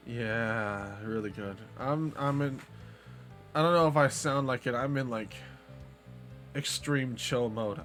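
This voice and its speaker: Young male streamer, mid-pitched, rambly and relaxed, faint music underneath. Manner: laid-back rambling with many "like" fillers. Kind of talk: casual live-stream chat.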